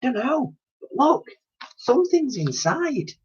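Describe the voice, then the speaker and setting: A man's voice: very high-pitched, sing-song, slow pace, breathy edge, pitched above the performer's own voice, heavily acted. A man voicing a curious, daring orphan girl who talks eagerly and asks lots of questions. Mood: excited. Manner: eager, inquisitive. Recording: dull lo-fi recording.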